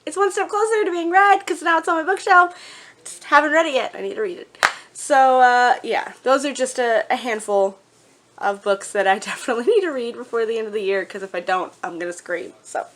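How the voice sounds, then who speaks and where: A woman's voice: on the high side, a little fast, a bit bright. Gushing woman chatting about favorites. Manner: gushing, emphatic. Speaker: book vlogger. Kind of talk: casual chat to viewers.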